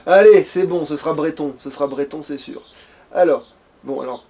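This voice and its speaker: A man hosting a let's play, chatting casually in slang and swearing as he thinks out loud. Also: fairly high pitch, normal speed. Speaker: let's play host playing the game. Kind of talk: casual gaming chat, improvised.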